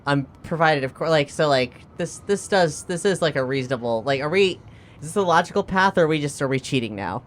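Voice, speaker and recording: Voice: medium pitch, animated, fairly quick. Casual tabletop gamer, noisy background. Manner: informal, jokey, speaks in character at times. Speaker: tabletop RPG player. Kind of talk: casual improvised tabletop game chat.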